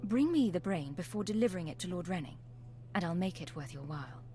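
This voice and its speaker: A woman's voice: fairly low pitch, lively intonation, quick pace, warm tone, soft background music, close to an everyday voice. A woman playing a haughty, ambitious young Sith apprentice who schemes with disdain, in a video game. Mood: haughty, scheming. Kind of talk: video game character acting.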